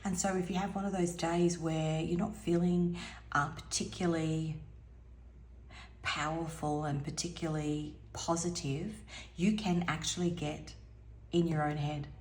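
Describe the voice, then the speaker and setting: A woman giving an intuitive tarot reading in a musing, soft-spoken way, her voice a little hoarse and now and then dropping to a near whisper. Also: low voice, steady tone, a little slow, reverberant. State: slightly hoarse, losing her voice. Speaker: tarot reader.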